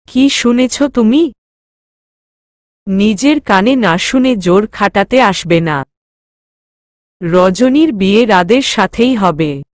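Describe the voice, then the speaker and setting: A woman's voice: mid-range voice, no room sound, clean studio sound. A woman voicing a stern, irritated father with a firm, commanding manner in an audio story.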